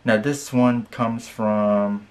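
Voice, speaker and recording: A man in his thirties talking casually as a music reviewer, often pausing mid-sentence, recorded in a bedroom on a camera at arm's length. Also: on the low side, steady tone. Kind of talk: casual reaction video talk. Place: small furnished bedroom. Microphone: camera at about arm's length.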